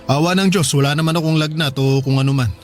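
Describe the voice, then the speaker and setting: A man's voice: a little high, fast talker, over music, close to an everyday voice. A man acting a tired but reassuring father caring for his sick child in a radio drama. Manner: reassuring, weary, plain-spoken.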